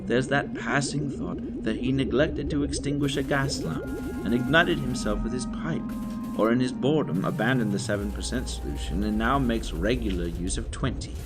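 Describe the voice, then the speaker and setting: A man playing a loyal, earnest, guilt-ridden gentleman in an audio drama, speaking formally and with strong emotion. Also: medium pitch, moderate pace, natural voice. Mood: worried, guilty. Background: music.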